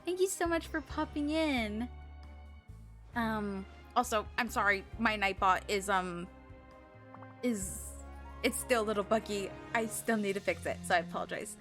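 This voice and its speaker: A woman's voice: mid-range voice, unhurried, a bit bright, faint music behind. A woman chatting casually on a live game stream, musing aloud to herself and her viewers.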